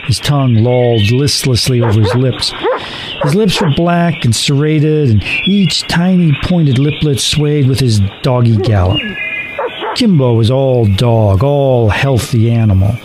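A man narrating a scripted horror story for radio drama with deliberate, ominous, measured phrasing. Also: mid-range voice, noisy background. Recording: music under the voice. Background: music.

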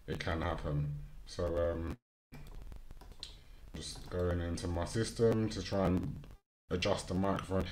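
A man with a low-pitched voice hosts a casual live stream. He speaks informally and unscripted, thinking aloud between bits of news. He sounds like he is running the show himself from a small studio setup. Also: slight background noise, low-quality recording. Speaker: host of a live online news and chat show. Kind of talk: casual live stream chat and article reading.

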